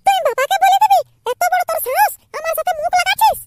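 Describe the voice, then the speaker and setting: A man voicing a bossy, scolding village mother for an amateur cartoon, with a Purulia Bengali accent and a pitched-up voice. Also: fast, a little hiss or hum. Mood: angry scolding. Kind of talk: amateur cartoon comedy dialogue.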